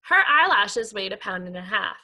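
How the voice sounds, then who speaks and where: A woman teacher reading aloud to young pupils in an expressive, animated storytelling style. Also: medium pitch, normal speed. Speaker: elementary school teacher. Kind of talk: animated children's read-aloud.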